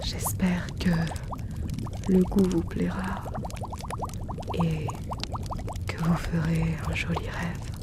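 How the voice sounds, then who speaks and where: Casting: woman as courteous fantasy potion seller, lowish voice, very unhurried, gently teasing roleplay delivery, noisy bubbling backdrop. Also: flat delivery. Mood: attentive, welcoming. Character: courteous female potion shopkeeper in a fantasy world. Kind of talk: French roleplay audio, gentle scripted in-character address.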